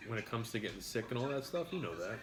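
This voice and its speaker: A man's voice: mid-range voice, sing-song, fast talker. A man who drives a truck for work, chatting bluntly and teasing over a meal, swearing freely, his nose starting to run. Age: adult parent. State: runny nose. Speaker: mukbang host, truck driver. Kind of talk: casual chat while eating.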